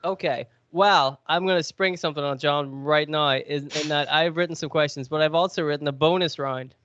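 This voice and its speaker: A man's voice: fairly high pitch, fairly even intonation, a little fast, fairly bright tone, highs cut off, slight background noise. A man with a cold, sniffly, chatting and joking as he hosts a podcast quiz. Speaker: podcast co-host. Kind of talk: casual podcast chat.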